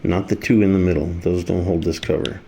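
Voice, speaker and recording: A man's voice: deep voice, steady tone, brisk, gravelly, dark tone, a little hiss or hum. A man giving a casual, hands-on repair demonstration, talking through each step in a folksy, informal way. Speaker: repair video presenter. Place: kitchen, indoors.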